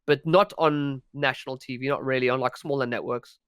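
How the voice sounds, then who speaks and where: A man's voice: mid-range voice, fairly even intonation, fairly quick, a bit bright, smooth, dry recording, studio quality. A young man from South Africa with a South African accent, chatting as a podcast guest, talking freely with frequent restarts and laughs. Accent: South African English. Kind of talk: casual podcast chat.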